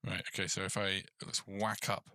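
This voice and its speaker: Male tutorial host, low bright voice, muttering through code in a casual way, close dry mic. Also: level tone, moderate pace, no room sound. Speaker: game development tutorial host. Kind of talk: casual coding tutorial, thinking aloud.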